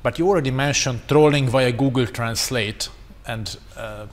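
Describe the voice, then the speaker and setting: Man with Hungarian as his first language, speaking in a reverberant hall. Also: medium pitch. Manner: measured, analytical, non-native English. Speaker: conference panelist. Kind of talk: panel discussion. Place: conference hall. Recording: reverberant hall with faint noise.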